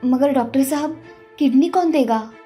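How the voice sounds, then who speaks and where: A woman playing a caring, gentle village mother in an animated moral story, tender and reassuring. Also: sits high, normal speed, natural voice. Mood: worried, devoted. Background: faint background music.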